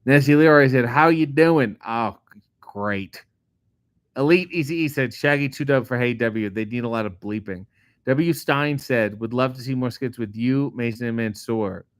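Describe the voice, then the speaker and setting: A middle-aged man, a comedian host, reading viewer comments aloud and answering them in a casual, profane, deadpan way. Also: mid-range voice, normal speed, no room sound, clean studio sound. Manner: profane, sardonic, deadpan asides. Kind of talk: casual chat answering live chat questions.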